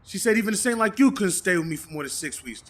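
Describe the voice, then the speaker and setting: A man's voice: sits high, very animated, fast talker. A man acting a selfish, oblivious boyfriend in a comedic audio drama, repeating himself in a whiny, pleading way. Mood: pleading, indignant. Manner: stammering, repetitive, pleading. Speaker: voice actor in an amateur audio drama. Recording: very noisy, long reverb.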